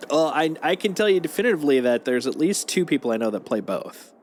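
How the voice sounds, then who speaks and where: A man's voice: on the high side, sing-song, fast talker, a little hiss or hum. A man livestreaming a video game, chatting casually as he plays. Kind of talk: casual chat while playing. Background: game music.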